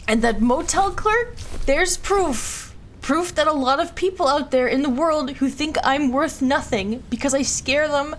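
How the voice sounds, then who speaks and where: A woman's voice: sits high, a little hiss or hum. A woman playing a hurting, self-loathing but defiant young outcast daughter in an audio roleplay, speaking in an emotional, pleading way. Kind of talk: naturalistic audio roleplay acting.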